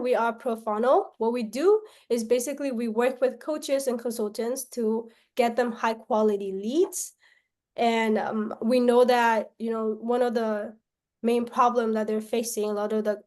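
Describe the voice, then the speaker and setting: A woman from Myanmar, a salesperson pitching her service on a call, often tagging sentences with questions and restarting them. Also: a little mellow. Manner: pitch broken up by frequent tag questions and restarts.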